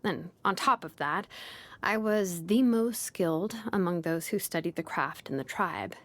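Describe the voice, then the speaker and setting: A woman voicing a laid-back, sleepy young female blacksmith and name engraver from a video game, languid and nonchalant with soft hesitations. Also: on the low side, unhurried, close to an everyday voice. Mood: matter-of-fact. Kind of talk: video game character voice lines. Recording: music behind the voice. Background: music.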